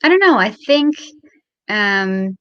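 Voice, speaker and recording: A woman's voice: medium pitch, very animated, slow pace, smooth, dry recording, dull audio. A young woman chatting casually as an interview guest, with a modest, somewhat hesitant way of talking. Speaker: guest on a show.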